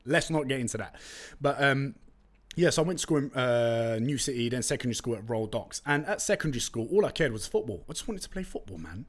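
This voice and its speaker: Podcast host: young male Londoner, native Turkish speaker, London accent, mid-pitched, casual slangy storytelling, close mic. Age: young adult. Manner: chatty, slangy, animated storytelling. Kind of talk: casual solo podcast chat. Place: room with brick walls, indoors. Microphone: close studio condenser mic with pop filter.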